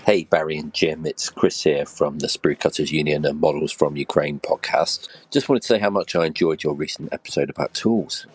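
A fellow podcaster leaving a friendly, teasing voice message. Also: male voice, rumbling low, moderate pace, hardly any pauses. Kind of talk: recorded voice message.

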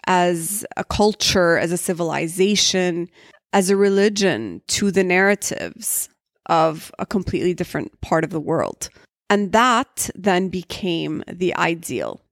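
A young woman with an American English accent hosts her own podcast, speaking frankly and sliding between English and Arabic within a sentence. Her voice sits a little low for a woman, and it is recorded dry and close with no room sound. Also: no room sound. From Saudi Arabia. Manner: candid, mixes Arabic and English mid-sentence. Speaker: podcast host. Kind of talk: solo podcast talk.

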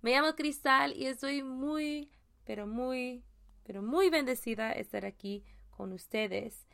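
A woman from Mexico hosting a casual solo faith podcast, talking in a halting way with many fillers and restarts. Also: high-pitched, gravelly, a bit bright, smooth. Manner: chatty, laughs at own jokes. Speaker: podcast host.

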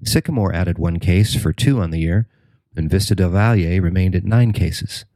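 Deep-voiced American man reading local news. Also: moderate pace, dark tone, dry recording. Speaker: news reporter and narrator. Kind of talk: scripted news narration.

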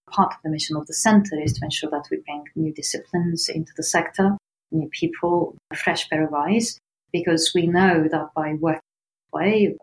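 A woman from Portugal with a Portuguese accent, answering interview questions in an earnest, measured way. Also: low-pitched, low-quality recording. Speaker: founder of a homelessness research organization.

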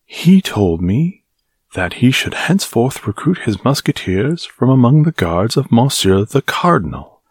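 A man's voice: medium pitch, sing-song, measured pace, breathy edge, dry recording, studio quality, pitched above the performer's own voice, exaggerated voice. A man voicing an angry middle-aged captain of musketeers, scolding his men. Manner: scolding, shouting.

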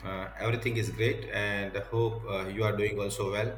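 Male podcast guest, Indian accent, lowish pitch, roomy echo, casual interview talk. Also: little pitch movement, normal speed, soft background music. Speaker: podcast guest, software tester.

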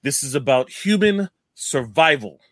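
A man's voice: mid-range voice, animated, a little slow, fairly bright tone, no echo, studio quality. A man playing a fervent senator in a radio play, giving an insistent, oratorical political speech. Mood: fervent, imploring. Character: fervent anti-mutant male senator.

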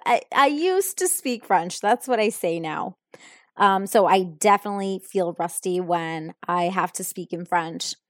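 A young woman speaks English slowly and deliberately, taking her time over each answer. She sounds thoughtful and a little hesitant, filling gaps with soft ums. Her voice is close and dry, with no room sound around it. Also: mid-range voice, dry recording. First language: Italian. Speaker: English learner answering questions. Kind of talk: unscripted answers in an English lesson.

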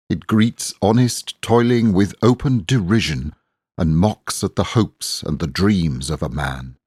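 Male poetry reader, unhurried pace, earnest exhorting delivery, measured recitation, close dry sound. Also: deep voice, slightly rough, no echo.

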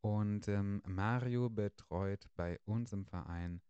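A man hosting a casual podcast, relaxed and chatty with frequent fillers. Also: on the low side, slow, clean tone, no echo, highs cut off. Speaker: podcast host.

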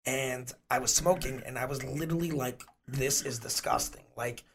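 Hoarse man in casual chat. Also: medium pitch, normal speed, fairly bright tone, a little hiss or hum. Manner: rambling, restarts often. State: hoarse, losing his voice. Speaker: podcast co-host.

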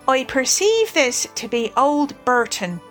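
Woman playing a hearty, masterful water rat, raised pitch. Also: expressive, soft background music. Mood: approving. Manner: brisk, commanding. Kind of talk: storybook character voice.

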